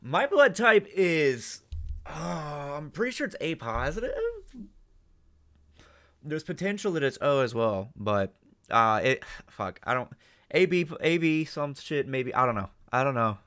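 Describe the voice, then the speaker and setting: A man giving off-the-cuff reaction commentary as a host, halting and speculative as he thinks aloud. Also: fairly high pitch, very animated, fairly bright tone. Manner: halting, speculative, mild swearing.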